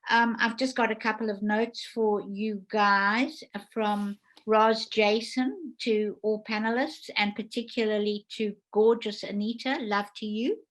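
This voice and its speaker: A woman's voice: medium pitch, fairly even intonation, unhurried, few pauses, fairly bright tone, low-quality recording. A woman hosting an online panel discussion in a casual, effusive way, as a theatre producer and director would. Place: indoors, online video call.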